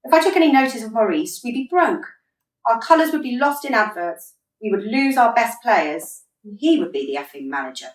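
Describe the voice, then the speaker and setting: A woman actor plays a blunt female football manager in a radio play. Her pitch is fairly high and swings widely as she scolds with exasperation. She sounds a little away from the microphone, in a roomy space. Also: animated, recorded from a distance, natural voice. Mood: exasperated. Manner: emphatic, scolding, deliberate. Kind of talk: radio drama acting.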